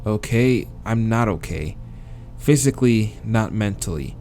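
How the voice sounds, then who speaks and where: A man's voice: fairly low pitch, expressive, measured pace, warm tone, faint music behind. A man voicing an exhausted visual novel protagonist who complains and protests.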